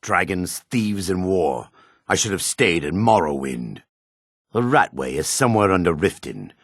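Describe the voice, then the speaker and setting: An actor playing a gruff, wary, embattled male dark elf with a raspy, gravelly voice in a fantasy video game. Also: deep voice, very animated, measured pace, dry recording, clean studio sound, full character voice. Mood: wary, bitter.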